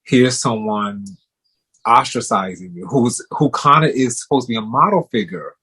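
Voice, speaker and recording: A man's voice: medium pitch, moderate pace, pushed and bright, breathy edge, rough recording. A man hosting an interview, responding to his guest in a supportive, affirming way with many "you know" asides. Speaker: host, therapist-style interviewer.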